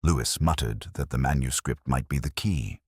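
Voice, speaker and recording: A man narrating a scripted first-person detective story in a measured, literary, reflective way. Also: very deep voice, a little mellow, husky, no echo, clean studio sound.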